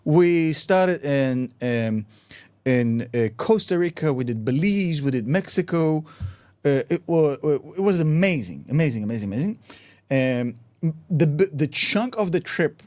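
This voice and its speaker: A man's voice: fairly high pitch, measured pace, no room sound. A man who is not a native English speaker, with a noticeable accent, hosting a casual podcast chat and telling stories with a laugh. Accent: foreign accent in English. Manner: laughing, animated storyteller, says "bro" a lot. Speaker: podcast host.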